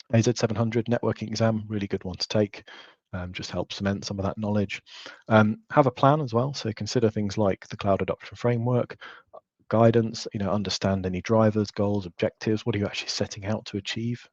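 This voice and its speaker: Croaky, low-voiced man presenting. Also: a little fast, dry recording, highs cut off. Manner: relaxed, informal, frequent fillers. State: hoarse, losing his voice. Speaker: guest presenter. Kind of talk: webinar presentation. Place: home office, indoors.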